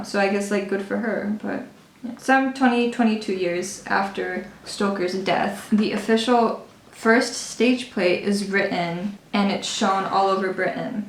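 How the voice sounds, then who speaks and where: Casual vlogger woman, mid pitch, chatty with fillers, echoey room. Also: a little hiss or hum. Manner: chatty, fillers, laughs at herself. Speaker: book and makeup vlogger. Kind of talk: casual book review talk. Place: lives in Budapest. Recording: roomy echo.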